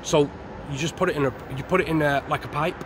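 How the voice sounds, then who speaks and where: A middle-aged man explains things in an earnest, matter-of-fact way. He speaks into a handheld mic outdoors on a street at night. Traffic and street noise are clearly audible behind him. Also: a little high, steady tone, fast talker. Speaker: interview guest, former prisoner. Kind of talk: casual interview answers.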